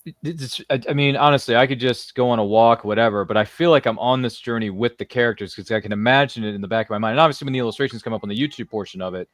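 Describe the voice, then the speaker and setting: Fast-talking man hosting a casual interview. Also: mid-range voice, no echo, studio quality. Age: 30s. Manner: effusive, jokey, colloquial. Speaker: talk show host.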